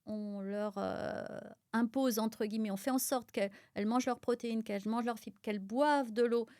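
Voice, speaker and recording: A woman's voice: on the high side, fairly quick, no room sound, studio quality. A middle-aged French woman from Auvergne, a pharmacist guest explaining nutrition in a podcast conversation with many fillers. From France (Auvergne). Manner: explanatory, lots of fillers, animated. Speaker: guest, pharmacist and micronutrition expert.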